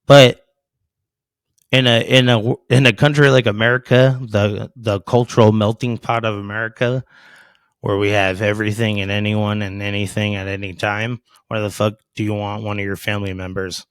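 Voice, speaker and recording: A man's voice: lowish voice, little pitch movement, normal speed, clear, resonant tone, dry recording. Blunt, profane man in his thirties. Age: 30s. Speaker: podcast guest. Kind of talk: casual podcast chat.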